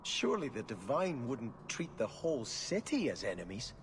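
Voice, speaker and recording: Earnest devout believer, echoing hall. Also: male voice, mid-range voice, wildly swooping pitch, normal speed. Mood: earnest concern. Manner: earnest, formal, pleading. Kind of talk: fantasy video game acting. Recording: long hall reverb, faint noise.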